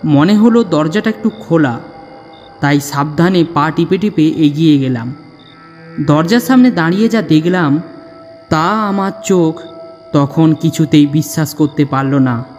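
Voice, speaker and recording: Male actor for a young, curious, scared horror-cartoon narrator; mellow, dramatic, heavy reverb. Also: fairly high pitch, animated, a little slow. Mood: suspenseful. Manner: suspenseful, dramatic delivery. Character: young man, frightened, curious narrator of a ghost story. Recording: long reverb, music underneath.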